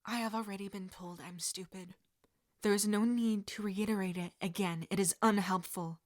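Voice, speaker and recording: A woman voicing a stiff, earnest younger teenage boy who talks formally and defends himself quietly. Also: medium pitch, fairly even intonation, moderate pace, close to an everyday voice. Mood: hurt.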